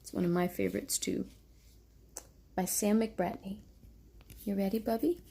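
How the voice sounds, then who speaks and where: A woman reads a bedtime story in a gentle, motherly way. Her voice is husky and mellow, with plenty of creak, and she slips into casual talk to her little one. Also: medium pitch, fairly even intonation, measured pace. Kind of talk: read-aloud children's story with casual asides to a small child.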